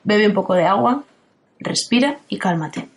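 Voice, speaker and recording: A woman from playing a calm, ageless guardian who speaks in a steady, firm way in an audio drama. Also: medium pitch, normal speed, close to an everyday voice. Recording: roomy echo.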